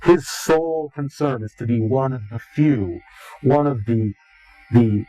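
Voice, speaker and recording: A man giving interview testimony in a grave, deliberate, halting and portentous way. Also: medium pitch, dark tone, rough recording. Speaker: interviewee, claimed insider. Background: music.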